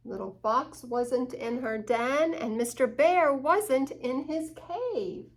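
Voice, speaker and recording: A woman reading a children's picture book aloud in an expressive, deliberate storytelling manner. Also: high voice. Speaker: storytime reader. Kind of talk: children's picture-book read-aloud with asides to young listeners.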